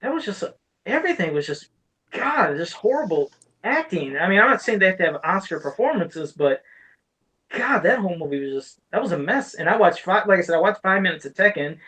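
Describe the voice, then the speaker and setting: A man's voice: high-pitched, sing-song, a little fast, pushed and bright, low-quality recording. Frank man in casual podcast chat. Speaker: podcast co-host. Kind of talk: casual chat about video game movies.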